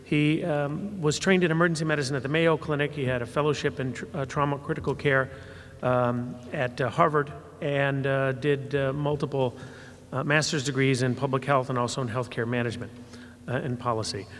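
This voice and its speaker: A man moderating a panel and introducing the next speaker, halting and full of filler sounds. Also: medium pitch, steady tone, fairly bright tone. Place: hotel ballroom at a summit. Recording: long reverb of a large ballroom.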